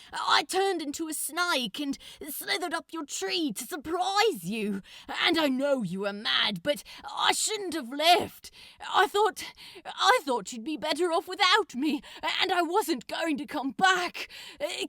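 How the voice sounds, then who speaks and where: A woman's voice: high-pitched, vocal fry, fairly bright tone, dry recording, studio quality, voice pushed up, exaggerated voice. A woman voicing a mischievous, lovestruck male demon in a fan-fiction story, blurting emotionally and dramatically. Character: frantic, remorseful male demon in love, mischievous.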